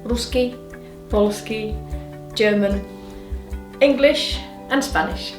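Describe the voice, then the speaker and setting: A woman with a Czech accent, telling lively stories about her early days abroad as an interviewee. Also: a little high, slow. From Czech Republic. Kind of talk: personal anecdote. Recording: roomy, distant mic, music behind.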